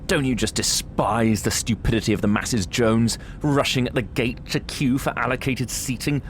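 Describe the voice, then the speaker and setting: A man's voice: medium pitch, steady tone, moderate pace, slight background noise, natural voice. A man playing a snobbish, deluded British detective with a pompous, grandiose way of talking in a comic audio drama. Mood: smug.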